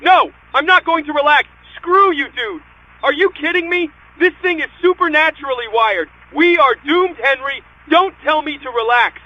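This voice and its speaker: A man's voice: very high-pitched, normal speed, dull audio. An actor playing a panicked, combative young man, agitated and argumentative in dramatic dialogue. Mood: panicked, angry.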